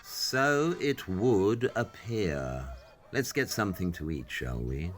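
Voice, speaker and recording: Superior detective, dry n pointed, unhurried, big swoops in pitch, audio drama. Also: male voice, lively intonation, slight background noise. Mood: dry, assured.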